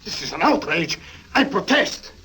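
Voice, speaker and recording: A smooth, over-polite continental swindler in an old radio play. His German-accented voice is forceful and a little husky, with formal, clipped phrasing, on a vintage radio recording. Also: sits high, very animated, normal speed, full character voice, rough recording. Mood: indignant, alarmed. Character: suave, stiffly courteous Viennese con man posing as aristocrat. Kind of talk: 1950s radio drama acting.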